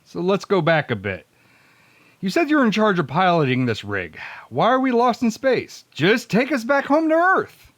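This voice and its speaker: A man with a high, very animated voice hosts a comedy radio read-through. He swings between mock-dramatic announcing and sardonic asides, recorded close and dry. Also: moderate pace, no echo. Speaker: host and producer.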